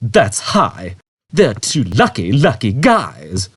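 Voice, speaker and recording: A brash, impatient space rogue played by a man for a sci-fi audiobook. He talks in slangy exclamations, drawling out words, raised in pitch and swooping, with a slightly rough edge. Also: wildly swooping pitch, slow, no room sound, exaggerated voice. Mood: pondering. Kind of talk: audiobook character voice.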